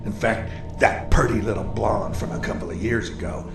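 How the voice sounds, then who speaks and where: Ghostly father, male actor: sneering, belittling farmer for horror radio, low-leaning, echoey over clear music. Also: normal speed. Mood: scornful. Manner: gruff, belittling. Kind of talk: horror radio play acting.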